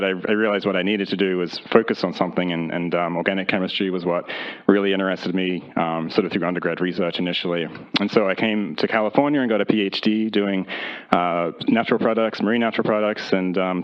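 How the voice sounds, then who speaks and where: A man from Australia, a chemist, telling his career path on a panel, halting and repeating words. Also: sits low. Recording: dull lo-fi room recording.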